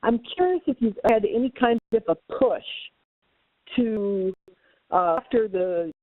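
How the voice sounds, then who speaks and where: A woman's voice: mid-range voice, unhurried. A woman with a mid-range voice phones in as an audience member. She speaks conversationally as she puts her question. The sound is thin, band-limited and free of echo. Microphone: telephone call-in. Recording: tinny narrowband phone line.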